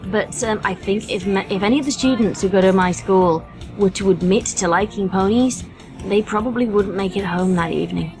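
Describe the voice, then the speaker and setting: A woman's voice: mid-range voice, brisk, over music. A British woman in her thirties talking casually as a podcast guest, explaining things in an articulate, wry way. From United Kingdom. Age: 30s. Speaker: guest, voice actress and high school teacher.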